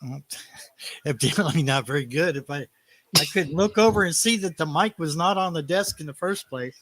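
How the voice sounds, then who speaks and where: A man's voice: fairly high pitch, animated, normal speed, fairly bright tone, dry recording. A man joining a livestream as a guest over a video call, easygoing and a bit self-deprecating. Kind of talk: casual video call chat. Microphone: computer mic on a video call.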